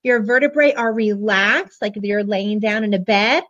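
A hoarse woman in her forties, chatting bubbly and gushing to viewers as she shows a children's book on a webcam. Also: mid-range voice, fairly even intonation, moderate pace, fairly bright tone. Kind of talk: casual live book show-and-tell. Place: small room indoors, decorated backdrop. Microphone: webcam at desk. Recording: dull lo-fi webcam audio.

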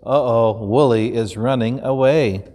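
A man in his fifties with an American accent, a pastor reading a children's story aloud in an expressive, deliberate way. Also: medium pitch. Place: large indoor church stage. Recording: roomy hall with long reverb.

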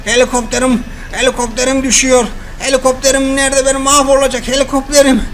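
A boastful, scheming rich man who whines when things go wrong, played by a man in a toy cartoon. His voice is pitched fairly high. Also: little pitch movement, fast, fairly bright tone. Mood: whining. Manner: boastful, whiny when upset.

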